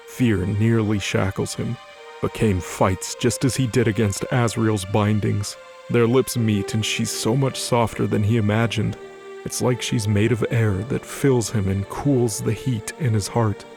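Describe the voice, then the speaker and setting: A man's voice: on the low side, steady tone, unhurried, gravelly. A man reading scripted narration for an audio drama in a dramatic storytelling style. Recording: faint music behind the voice.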